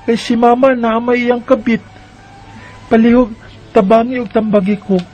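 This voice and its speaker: A man's voice: extremely high pitch, steady tone, normal speed, a little mellow, faint music behind, poor audio. A man reading aloud a listener's letter in an earnest, pleading way.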